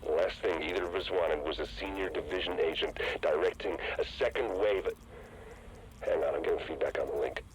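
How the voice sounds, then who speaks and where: Ruthless rogue agent for a game: extremely low, calculating, persuasive, over a noisy radio-like recording. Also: male voice, brisk. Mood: smug, businesslike. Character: ruthless, calculating rogue government agent, man, cynical schemer. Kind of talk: dramatic video game cutscene acting.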